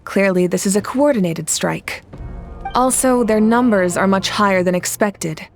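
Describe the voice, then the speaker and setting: A composed, commanding female leader, voiced by a woman in a game, speaking at a mid pitch. She is measured and reassuring, with quiet authority in every sentence. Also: faint music behind, natural voice. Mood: steady. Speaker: leader of a nation at war. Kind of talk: video game voice acting.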